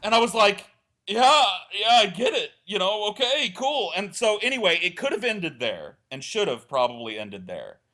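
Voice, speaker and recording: A man's voice: sits high, normal speed. A man telling a crude, rambling comic story on a casual podcast, acting out what was said. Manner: rambling, crude, animated storytelling with acted-out quotes. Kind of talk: casual comedic anecdote.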